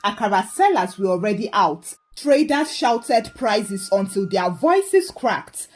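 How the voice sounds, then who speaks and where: Animated Nigerian woman storyteller over soft music. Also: medium pitch, slow pace. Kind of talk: expressive scripted folktale narration.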